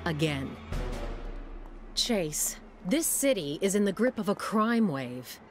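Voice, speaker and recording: An actress playing a composed, businesslike city mayor with an urgent message in a video game cutscene. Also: female voice, on the low side, sing-song, slow. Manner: businesslike, earnest, authoritative. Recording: faint music behind the voice.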